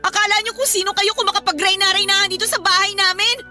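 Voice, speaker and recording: An actress playing a hurt, defiant young stepdaughter talking back indignantly in a radio drama. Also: female voice, very high voice, fairly even intonation, quick pace, pushed and bright, faint music behind, exaggerated voice. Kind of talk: Tagalog radio drama acting.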